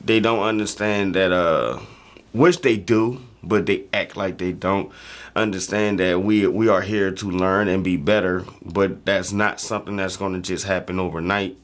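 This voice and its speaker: A man chatting casually and reflectively as a reaction show host, halting often as he searches for words. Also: sits low, fairly even intonation.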